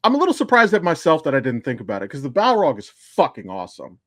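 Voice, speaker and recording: A man's voice: on the high side, huge pitch swings, fast, a bit bright, dry recording. Casual man podcasting in a dry close sound. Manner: informal, profane. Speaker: podcast co-host. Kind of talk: casual fan discussion.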